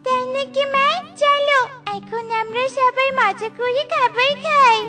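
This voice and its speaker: A woman's voice: extremely high pitch, fairly even intonation, unhurried, loud music behind the voice. A woman voicing an innocent, childlike baby bird in a cartoon. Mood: eager. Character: little chick, innocent talkative bird child. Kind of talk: cartoon character dialogue.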